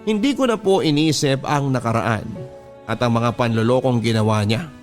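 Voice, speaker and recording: A man's voice: mid-range voice, lively intonation, moderate pace. A man with a Filipino accent reads a first-person letter as a radio drama host, in a sentimental, confessional storytelling manner. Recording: music bed behind the voice. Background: background music.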